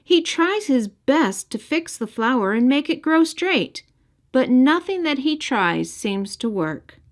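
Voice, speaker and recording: A woman narrating a children's storybook as the storyteller, in an expressive scripted read-aloud style. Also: high voice, takes it slowly. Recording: music bed behind narration.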